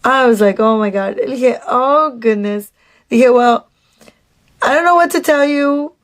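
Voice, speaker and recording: Mexican-accented woman vlogger, storytime to camera, raised pitch, unhurried delivery. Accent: Mexican Spanish. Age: adult. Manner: chatty, animated, many restarts and "like"s; slips into Spanish "dije". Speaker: dating vlogger. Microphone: phone camera.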